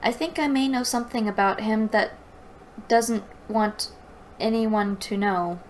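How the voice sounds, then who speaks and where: A woman's voice: fairly high pitch, unhurried, a little hiss or hum, natural voice. Woman reading as an earnest bookish young heroine. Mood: confiding. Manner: earnest, explaining.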